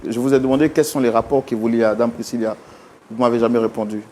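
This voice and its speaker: A man's voice: mid-range voice, fairly even intonation, fast, darkish tone, a little hiss or hum. A man hosting a reconciliation talk show, probing his guests and pressing them for direct answers.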